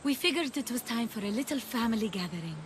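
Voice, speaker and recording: A woman's voice: fairly high pitch, animated, quick pace, background noise, lightly acted. An actress playing a wry, affectionate young wife and mother in an ancient Greek video game drama. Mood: light, fond. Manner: wry, gently teasing.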